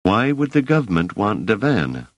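A man voicing a cautious, sharp-tongued young woman who speaks firmly and gives orders, in a storybook reading. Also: on the low side, big pitch swings, moderate pace, no room sound, pitched above the performer's own voice. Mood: stern. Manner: firm, commanding.

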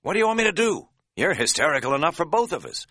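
A man's voice: sits low, big pitch swings, normal speed, bright, forceful tone, slightly rough, dry recording, full character voice. A man voicing a weary, unflappable male hiking companion in an audiobook, dry and dismissive. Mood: unruffled impatience. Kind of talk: audiobook character dialogue.

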